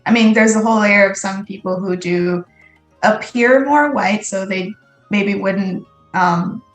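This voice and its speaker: A woman's voice: medium pitch, faint music behind. Thoughtful woman guest, echoey room. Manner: thoughtful, pauses with ums. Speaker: podcast guest. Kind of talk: casual interview.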